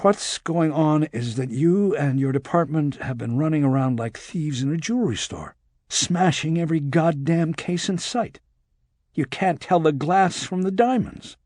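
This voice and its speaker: A man voicing a sharp, exasperated female federal agent in an audiobook, scolding and sarcastic. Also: fairly high pitch, expressive, measured pace, no echo, studio quality, pitched above the performer's own voice. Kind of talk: audiobook character dialogue.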